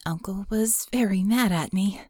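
A woman's voice: medium pitch, normal speed, a little mellow, husky, no room sound, clean studio sound, close to an everyday voice. A woman voicing a guarded, sardonic young female mage in an audiobook, telling her story with wry candor. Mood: wry, bitter confession. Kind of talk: audiobook character dialogue.

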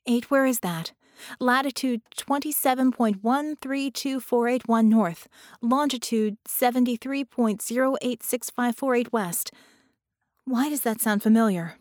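Woman acting an intense, urgent young woman, higher-pitched. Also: unhurried, no echo, studio quality, lightly acted. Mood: startled, urgent. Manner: excited, urgent, thinking out loud. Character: intense, quick-thinking young female magician hacker. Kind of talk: audiobook character voice.

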